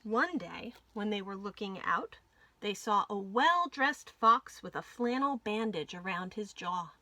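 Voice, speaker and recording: A woman hosting a children's storytime, reading a picture book aloud with clear phrasing and emphasis for young listeners. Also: medium pitch, lively intonation, measured pace, bright, forceful tone, no echo. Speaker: children's librarian, storytime host.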